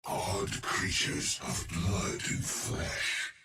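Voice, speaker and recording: A man's voice: little pitch movement, pushed and bright, exaggerated voice. An actor voicing a male alien eyeball creature, a cold, curious scientist who speaks in detached, ominous pronouncements. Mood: coldly clinical. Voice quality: deep, slow, gravelly and clinical. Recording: roomy game audio with crowd noise behind.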